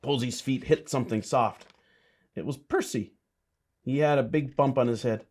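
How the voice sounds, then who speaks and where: Guy bishop doing storytime for kids, medium pitch voice, little encouraging comments to the children. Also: normal speed, darkish tone. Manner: simple, encouraging asides addressed to kids. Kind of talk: storytime reading and chat to children.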